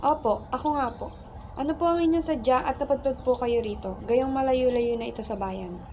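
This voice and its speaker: A woman's voice: high-pitched, a little fast, dark tone. A woman playing a humble, meek but steadfast mother in a radio play, speaking deferentially and pleadingly. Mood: polite, earnest. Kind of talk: radio play acting in Filipino.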